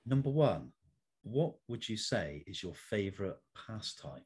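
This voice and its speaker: Male interview host, lower register. Measured, formal phrasing, prepared questions. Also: big pitch swings, a little mellow, breathy edge.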